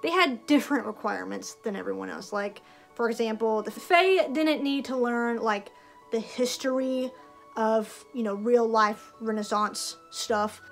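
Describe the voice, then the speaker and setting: Chatty young woman, fairly high voice. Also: unhurried, creaky, faint music behind. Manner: chatty, with filler likes and laughs. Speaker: vlogger and festival performer. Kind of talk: casual chat to camera.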